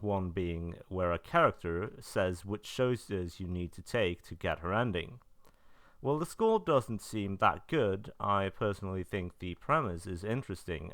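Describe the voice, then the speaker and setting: A man's voice: on the low side, fairly bright tone, no echo, clean studio sound. A man narrating an informal scripted review, matter-of-fact with casual asides. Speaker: video narrator reviewing releases.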